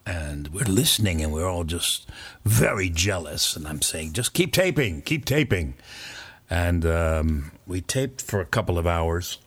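An older man, a former pirate radio DJ, telling a rambling, anecdotal story in a relaxed interview. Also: deep voice, husky, no echo. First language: not French; English speaker. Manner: rambling, anecdotal, with fillers and chuckles.

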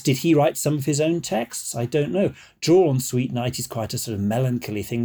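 A man's voice: mid-range voice, warm tone. A man, a guest musician, explaining early music in a chatty, scholarly way, often hesitating and wandering off on tangents.

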